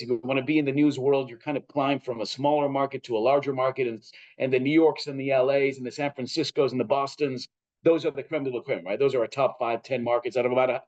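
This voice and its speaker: A man's voice: mid-range voice, darkish tone, highs cut off. A man with an American accent giving expansive, articulate answers as an interview guest, in a conversational style. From Chile and Southern California. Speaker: interview guest, travel editor and broadcaster.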